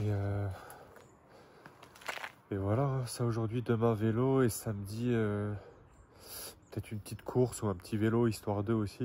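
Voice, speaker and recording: A man's voice: fairly low pitch, a little mellow, a little hiss or hum. A man recording a casual training diary vlog, chatting and thinking aloud in short bursts. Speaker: amateur triathlete vlogger.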